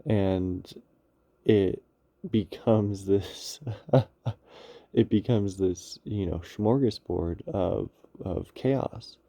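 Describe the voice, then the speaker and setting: A man giving an unscripted, reflective monologue on inner life, halting and searching for his words as he goes. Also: lowish voice, gravelly, warm tone, no echo. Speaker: speaker on spirituality and psychology.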